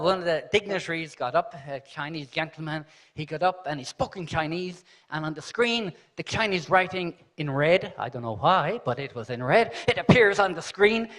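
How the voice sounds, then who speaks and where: A man from Ireland, hosting a conference and telling an animated story to the audience, often checking in with "okay?". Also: high voice. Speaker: conference host. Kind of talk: live conference speech with anecdotes. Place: large conference hall.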